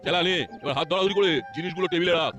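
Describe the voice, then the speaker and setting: A man's voice: high voice, fairly even intonation, very fast, music in the background, lightly acted. A man voicing a confident, friendly sweet maker uncle in a comic cartoon, assured and hospitable. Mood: welcoming.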